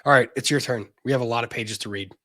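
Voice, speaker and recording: A man's voice: medium pitch, quick pace, fairly bright tone, no echo, clean studio sound. A man co-hosting a legal commentary show, reading a lawsuit aloud dramatically and breaking in with emphatic asides.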